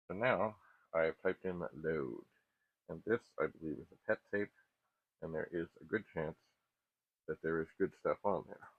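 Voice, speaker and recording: A middle-aged man giving casual, unscripted tech commentary as he works, thinking aloud in a halting, self-questioning way. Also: low voice, husky, dry recording. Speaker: host of a vintage computer repair channel. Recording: muffled, phone-like narrow band.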